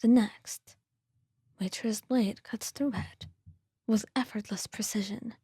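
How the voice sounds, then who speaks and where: A woman reading scripted ASMR narration in a soft, breathy whisper, with a gentle, hushed storytelling manner. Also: on the high side, steady tone, slow, no echo, studio quality.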